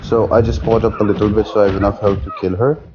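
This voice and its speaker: A man's voice: lowish voice, flat delivery, fast, highs cut off, background noise. A man giving a casual, matter-of-fact strategy walkthrough as commentary over gameplay. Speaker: gaming video creator giving strategy guide.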